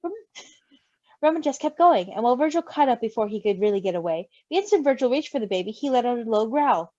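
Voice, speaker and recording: A woman reading a story aloud expressively, with an animated, giggly manner. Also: fairly high pitch, a little fast, no room sound. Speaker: story reader. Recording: slightly dull, close dry mic.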